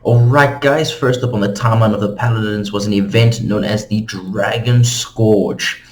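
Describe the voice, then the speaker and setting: Man, low-pitched voice, casual host, informal explainer narration, conversational delivery. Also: fairly even intonation, normal speed, music in the background. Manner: casual, conversational, addresses viewers as guys. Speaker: host of a game lore channel.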